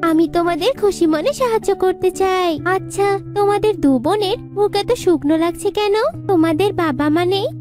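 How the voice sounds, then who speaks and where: A woman voicing a kind, grateful wish fairy in a cartoon, explaining things gently. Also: very high voice, brisk, hardly any pauses. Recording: music behind the voice.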